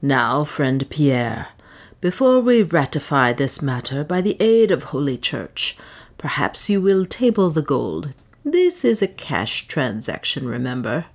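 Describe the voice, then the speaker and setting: A woman's voice: low-pitched, big pitch swings, unhurried, heavily acted. A woman voicing a brutal, greedy male slave-trader boss in an audiobook, mocking, blustering and commanding. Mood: businesslike. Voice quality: hoarse when enraged.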